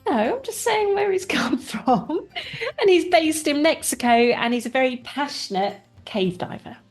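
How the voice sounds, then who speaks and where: A woman with a slightly higher voice co-hosts a casual podcast chat. She laughs easily and teases her co-host warmly, keeping the talk light and friendly. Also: animated, normal speed, soft background music. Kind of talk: casual banter.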